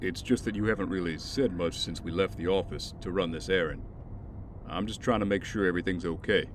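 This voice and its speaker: A protective, easygoing man played by an actor in an audio drama. He has a low voice and a gentle, conversational delivery, over faint noise. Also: a little fast, lightly acted. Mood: concerned. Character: caring, easygoing male driver, protective and concerned.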